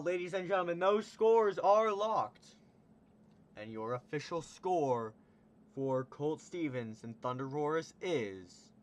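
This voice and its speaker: High-voiced hyped arena announcer man. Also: a bit bright. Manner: booming, drawn-out hype calls. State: losing his voice. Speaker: monster truck event announcer for a simulated show.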